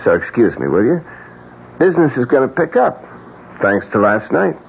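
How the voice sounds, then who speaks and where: An actor playing an eccentric, sardonic painter with a theatrical, mock-courteous manner in a radio drama. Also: male voice, medium pitch, sing-song, normal speed, gravelly. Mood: gleefully morbid. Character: eccentric, sardonic male painter, cheerfully morbid. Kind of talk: old-time radio drama acting. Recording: muffled, faintly hissy old radio recording.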